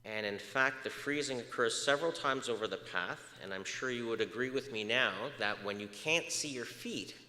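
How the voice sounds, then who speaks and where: A man's voice: mid-range voice, normal speed, few pauses. Man lecturing in an echoey hall. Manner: lively, asks audience questions, many uh fillers. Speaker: research speaker. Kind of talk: public lecture to an audience. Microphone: moves off mic at times.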